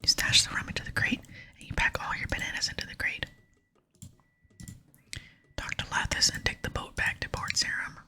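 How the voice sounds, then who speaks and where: A woman hosting an ASMR gaming video, whispering chatty, gentle commentary to her viewers. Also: moderate pace, pauses often. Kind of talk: whispered ASMR game commentary and quest reading. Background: soft music.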